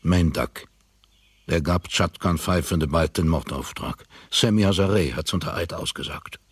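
An actor playing a grim tycoon bent on revenge in a radio drama, husky, grave and terse. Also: male voice, deep voice, moderate pace, dry recording.

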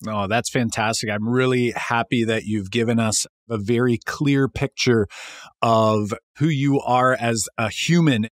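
Co-host: friendly, chatty man, middle pitch, dry close mic. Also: steady tone, measured pace, dry recording. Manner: enthusiastic, conversational. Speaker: podcast co-host. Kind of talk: casual interview chat.

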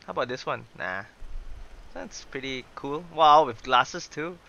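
A man's voice: mid-range voice, animated, unhurried, vocal fry, bright, forceful tone, highs cut off, a little hiss or hum. A man doing casual livestream game commentary in a playful, exclamatory way, sometimes reading dialogue aloud. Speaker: streamer, virtual avatar host. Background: game music.

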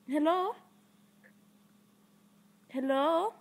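A teenage girl fakes a panicked phone call, rambling and overdoing her distress. Her voice sits high and is picked up close by a laptop webcam in a living room. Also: drawn-out words, gravelly. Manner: fake-distressed, rambling, stifling laughs. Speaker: prank caller. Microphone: laptop webcam mic up close.

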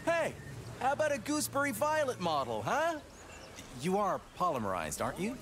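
A man voicing an eager, chummy robot salesman in a video game, with a persuasive pitchman's manner. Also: huge pitch swings, moderate pace, fairly bright tone, slight background noise, exaggerated voice. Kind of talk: scripted video game acting.

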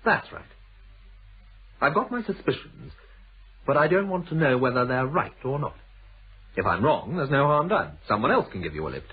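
A man's voice: medium pitch, very animated, moderate pace, pauses often, breathy edge. An actor playing an uneasy, evasive, educated motorist with a British accent in a radio thriller, clipped and defensive in his speech. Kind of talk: British radio drama acting. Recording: muffled band-limited old radio broadcast.

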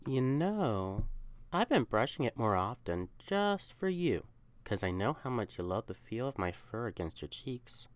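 A woman's voice: a bass voice, very animated, measured pace, dry recording, exaggerated voice. A young woman voicing an affectionate, teasing, protective catboy boyfriend in an intimate, coaxing ASMR roleplay. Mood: playful, chiding. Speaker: audio roleplay performer.

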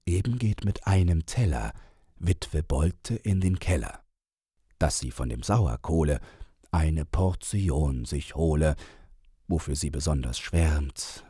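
A man with a very deep, husky voice reads rhymed verse for an audiobook. His delivery is deliberate and theatrical, with wide swings of intonation. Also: warm tone, no room sound, clean studio sound. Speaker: audiobook narrator. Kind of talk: expressive reading of a rhymed children's story.

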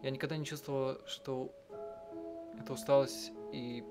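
A man doing let's-play narration, reading and translating text aloud in a halting, self-correcting way. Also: medium pitch, level tone. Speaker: game streamer and live translator. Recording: game music under the voice. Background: game music.

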